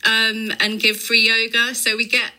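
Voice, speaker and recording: A woman's voice: a little high, monotone, unhurried, sharp tone, rough recording. A woman speaking fluently and enthusiastically as a community organisation's guest in a radio interview.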